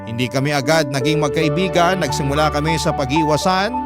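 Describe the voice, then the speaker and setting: Guy reading a love letter on radio, highish voice, sentimental, dramatic pauses, loud music behind. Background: prominent music.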